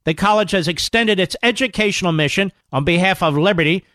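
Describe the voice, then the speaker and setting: Male ad announcer, high-pitched, measured earnest read, dry booth. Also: fairly bright tone, no room sound. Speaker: advertisement announcer. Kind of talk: scripted radio ad read.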